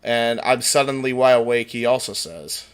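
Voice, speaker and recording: A man's voice: medium pitch, normal speed, smooth. A man co-hosting a livestream over a voice call, chatting informally and reading out comments with some swearing. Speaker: livestream co-host. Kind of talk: casual chat, reading out viewer comments.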